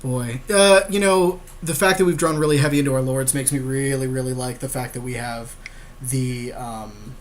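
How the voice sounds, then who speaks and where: A man's voice: medium pitch, steady tone, moderate pace. A man co-hosting a podcast, casually talking through plays in a card game. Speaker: podcast co-host.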